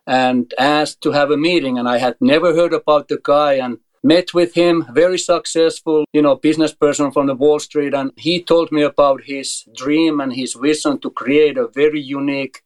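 A middle-aged man from Finland, a tennis center director, answering interview questions in a halting way with many fillers and repeated words. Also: fairly high pitch. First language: Finnish. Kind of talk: podcast interview.

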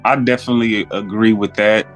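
A man's voice: fairly low pitch, steady tone, brisk, faint music behind. A man chatting casually as a podcast guest, telling anecdotes in slangy speech and acting out both sides of exchanges.

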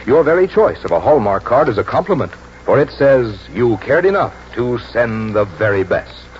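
A man announcing a scripted 1940s radio advertisement with polished, persuasive, deliberate diction. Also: mid-range voice, big pitch swings. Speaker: radio announcer and sponsor pitchman. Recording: muffled, noisy old 1940s radio broadcast.

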